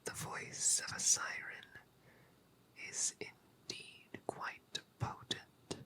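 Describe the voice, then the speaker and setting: A woman voicing an alluring, secretive female sea siren in a soft, unvoiced ASMR whisper. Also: heavily acted, low-quality recording. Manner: slow, drawn-out confiding delivery.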